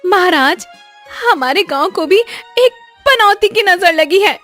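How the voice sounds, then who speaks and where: A woman's voice: extremely high pitch, lively intonation, fairly bright tone, faint music behind, heavily acted. A woman voicing a distressed, deferential village woman who pleads in a tearful whine for a cartoon moral story. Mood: pleading. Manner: imploring, drawn-out, deferential.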